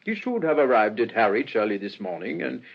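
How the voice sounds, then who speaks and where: Serious police inspector, radio play, husky, animated pitch, terse, formal, dull recording. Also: male voice, a little fast. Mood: grave, urgent.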